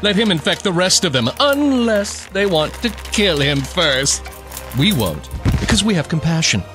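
A man's voice: high-pitched, sing-song, moderate pace, music in the background. A man playing a pompous, gloating vampire cult leader in a melodramatic horror-comedy radio play. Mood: smug. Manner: theatrical, commanding, pompous.

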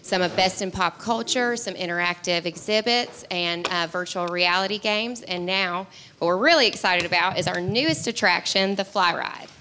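A woman's voice: fairly low pitch, moderate pace, bright, forceful tone, slight background noise. A young woman guest on a studio talk show, speaking into a handheld mic in an upbeat, chatty way with some fillers. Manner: upbeat, laughs easily, fillers. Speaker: guest promoting an attraction. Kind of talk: casual promotional chat. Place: diner-style TV studio set with audience.

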